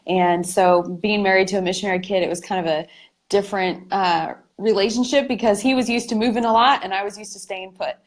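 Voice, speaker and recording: A woman's voice: mid-range voice, fairly even intonation, a little fast. A woman in her thirties from the American Midwest, with a Midwestern accent, talking about her family in a friendly, light way over a video call. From Midwest United States. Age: 30s.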